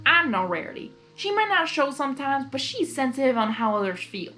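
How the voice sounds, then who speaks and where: A woman's voice: high-pitched, big pitch swings, brisk, bright, forceful tone, soft background music, voice pushed up, heavily acted. Woman doing a folksy drawling cowgirl pony. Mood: consoling. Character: kindly plain-spoken country cowgirl pony.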